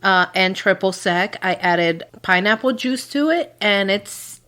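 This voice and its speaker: A woman's voice: mid-range voice, expressive, a little slow, a bit bright. A woman whose first language is Spanish, co-hosting a podcast in easygoing casual chat, explaining things. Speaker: podcast co-host.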